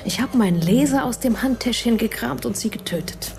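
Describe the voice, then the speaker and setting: Tough secret agent, thirties, mellow dark tone, matter-of-fact, radio play, faint background noise. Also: female voice, a little high, a little fast, gravelly. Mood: grim, urgent.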